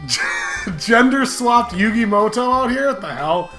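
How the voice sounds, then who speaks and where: A man's voice: extremely high pitch, expressive, moderate pace. A man hosting a gaming show, giving casual, joking let's-play commentary with exclamations, swearing and mock drama. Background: video game music.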